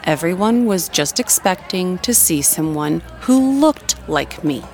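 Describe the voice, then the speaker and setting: A woman's voice: lowish voice, lively intonation, a little slow, darkish tone, soft background music, some chatter behind. An actress playing a guarded, defiant female project manager in naturalistic audio drama, with a terse, pointed way of talking. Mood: defensive.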